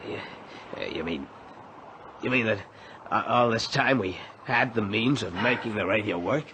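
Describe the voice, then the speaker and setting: An actor playing a worried, halting castaway in a radio drama. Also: male voice, fairly low pitch, lightly acted. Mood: puzzled, hopeful. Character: anxious, despairing, hesitant male castaway. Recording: noisy radio drama recording.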